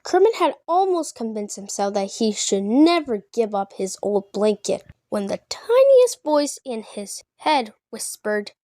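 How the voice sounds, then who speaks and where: Slow, expressive high-voiced woman reader. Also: no room sound, studio quality. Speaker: storybook reader. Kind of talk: children's story read-aloud.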